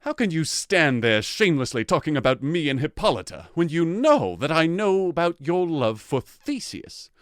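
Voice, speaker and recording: A man's voice: a little high, sing-song, normal speed, few pauses, no echo, studio quality. A man playing a jealous, haughty fairy king in an audio drama, imperious and scornful in his theatrical delivery. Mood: indignant, accusing. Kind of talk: modern-English audio drama acting.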